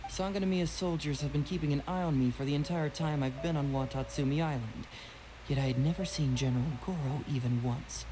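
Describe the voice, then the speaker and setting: A man voicing a young, confident, shrewd detective in a video game, with a casual, smooth, teasing manner. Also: a little high, a little fast, background noise, lightly acted. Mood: sly, probing. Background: game music.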